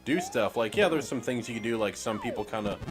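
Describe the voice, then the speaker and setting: A man's voice: mid-range voice, lively intonation, fast, music in the background. A man co-hosting a gaming podcast, chatting in an easygoing, laid-back way and often agreeing. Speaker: podcast co-host. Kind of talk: casual gaming chat.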